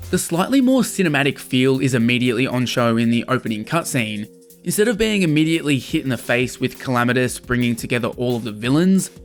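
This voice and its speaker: A young adult man hosts a scripted review in video essay style. His voice sits at a middling pitch for a man. Music plays behind him as he narrates. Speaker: video host and game reviewer.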